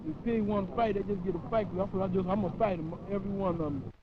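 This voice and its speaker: A man's voice: sits high, fairly even intonation, racing. A young American man talking defiantly in casual slang. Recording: dull, noisy old 16mm film sound.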